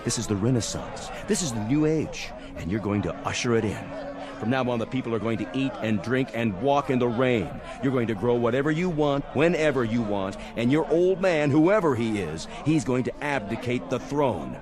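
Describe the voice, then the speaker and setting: An actor playing a ruthless, commanding armed stranger who incites starving villagers in oratorical, taunting 1960s television drama style. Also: male voice, mid-range voice, animated, moderate pace, crowd noise, natural voice. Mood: commanding, mocking. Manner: domineering, taunting, oratorical, barking orders. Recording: old television soundtrack with loud music.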